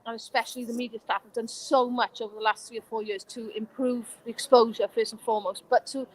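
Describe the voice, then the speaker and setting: A woman's voice: a little high, level tone, quick pace, low-quality recording. A Welsh woman and former player answering interview questions in an earnest, passionate way. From Wales. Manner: earnest, passionate, warm praise.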